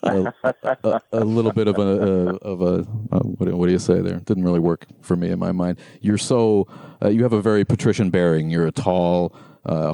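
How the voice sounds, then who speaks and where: A man's voice: low voice, creaky, warm tone, no echo, clean studio sound. A man hosting a podcast, asking rambling, hesitant questions full of fillers. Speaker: podcast host. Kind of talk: casual interview questions.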